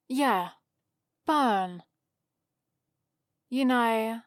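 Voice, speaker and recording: A woman's voice: mid-range voice, no echo, close to an everyday voice. A woman playing a haughty, sarcastic centuries-old vampire librarian in a scripted ASMR roleplay, with a dry, theatrical delivery. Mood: taunting.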